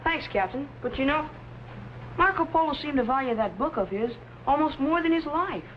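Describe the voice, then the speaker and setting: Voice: sits high, expressive, normal speed. A young performer playing an eager, inquisitive boy assistant in a vintage sci-fi serial. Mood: curious. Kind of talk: 1950s sci-fi serial acting. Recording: very noisy, muffled vintage soundtrack.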